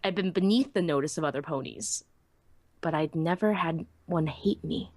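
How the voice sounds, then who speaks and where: A woman playing a small, anxious young female pony who narrates her own story in an expressive, earnest way. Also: deep voice, normal speed, no room sound. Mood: anxious, resigned. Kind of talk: dramatic first-person story narration.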